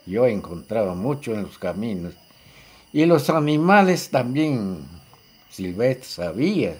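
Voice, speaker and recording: Husky older Peruvian man. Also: medium pitch, sing-song, takes it slowly, darkish tone. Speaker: local resident giving testimony. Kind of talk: casual spoken reminiscence.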